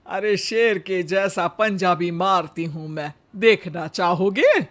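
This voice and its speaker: A woman playing a bossy, short-tempered, gluttonous old mother-in-law in a comic animated Hindi story, scolding theatrically. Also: fairly low pitch, takes it slowly. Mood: huffy.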